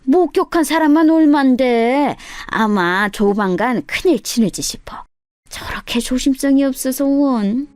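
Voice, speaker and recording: Woman acting a gossipy older cleaning lady, lilting high. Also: a little slow, dry recording. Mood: disapproving gossip. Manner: animated, insistent gossiping. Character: gossipy older female office cleaner, nosy and chummy. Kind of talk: dramatised radio story reading.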